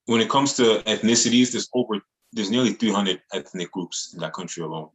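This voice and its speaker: Male music artist, very low voice, earnest slangy interview talk. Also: brisk, rough recording. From Montreal, Canada. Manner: earnest, repetitive phrasing with casual slang. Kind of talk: casual interview chat.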